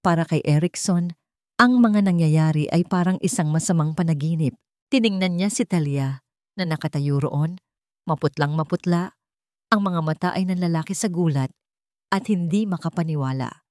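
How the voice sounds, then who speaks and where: A woman narrates a dramatic story from a script. Her pitch sits on the low side, and the sound is very dry, with no room on it. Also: moderate pace, a little mellow, no echo.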